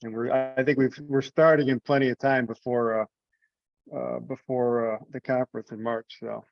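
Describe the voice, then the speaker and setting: A man's voice: mid-range voice, little pitch movement, moderate pace, gravelly. A man casually leading a meeting discussion on a conference call. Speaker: meeting leader taking notes. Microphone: remote teleconference call. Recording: dull, dry conference call audio.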